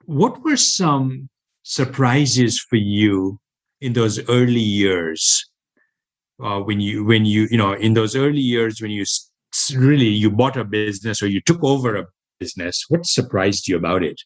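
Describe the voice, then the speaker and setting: A man with an American accent hosting a podcast interview. He sounds upbeat and halting and restarts his questions often. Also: lowish voice, animated, rough recording. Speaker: podcast host.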